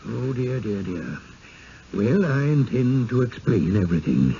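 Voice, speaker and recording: A genteel village gentleman in a radio drama, polite and gently insistent. His speech is slow and fussy, with a creaky edge and swooping pitch. It comes from a dull old broadcast recording. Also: sing-song, slight background noise. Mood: calm explaining. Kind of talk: 1970s radio drama acting.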